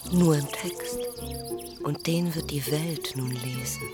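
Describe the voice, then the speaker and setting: A poet's beloved in a radio drama. Her low female voice recites slowly and tenderly, solemn as verse, while music swells loudly around it. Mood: tender, wistful. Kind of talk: German radio play acting.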